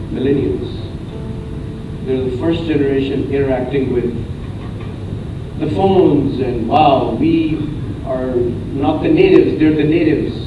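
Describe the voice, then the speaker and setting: A man with a booming voice giving a conference keynote, telling a story with dramatic pauses and emphatic repetition. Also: noisy background. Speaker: conference speaker. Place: conference hall. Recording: echoey hall from far off, dull sound, music behind.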